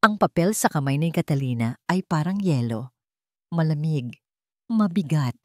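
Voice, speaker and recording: A woman with a Filipino accent narrates a scripted story in a measured, dramatic way, in short phrases. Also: deep voice, lively intonation, no room sound, studio quality.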